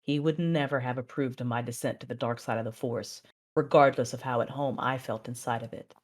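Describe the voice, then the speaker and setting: A woman acting as a controlled, proud Sith mother, narrating and speaking in a measured, intense way in an audio drama. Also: very deep voice, fairly even intonation. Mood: brooding. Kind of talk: first-person dramatic narration and dialogue.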